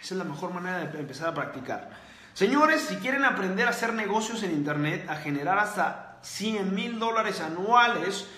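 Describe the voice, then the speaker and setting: A man with a high voice lectures viewers in a motivational livestream, hammering his points home by repeating them. His voice rings out in a roomy, echoing space. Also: expressive, normal speed, sharp tone. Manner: preachy, repeats key points. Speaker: host. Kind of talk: motivational livestream talk, reading comments.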